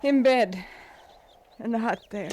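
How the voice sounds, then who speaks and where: Weary, bitter frontier widow. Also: female voice, a little high, slow pace, warm tone. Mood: grieving, resigned. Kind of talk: 1950s radio western acting. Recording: old radio recording, faint outdoor noise.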